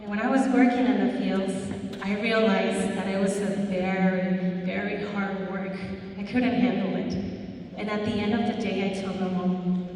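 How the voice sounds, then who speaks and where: A woman from Mexico, giving an earnest personal motivational speech at a school in a slow storytelling manner with emphatic pauses. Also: mid-range voice, flat delivery, a little mellow. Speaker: guest speaker at a school. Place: large school hall. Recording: echoey hall, voice from far off.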